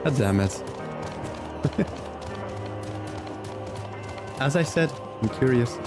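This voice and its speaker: Male game streamer, medium pitch, relaxed chatty delivery, reacts aloud, music underneath. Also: very slow. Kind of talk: casual livestream chat while playing. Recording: music behind the voice.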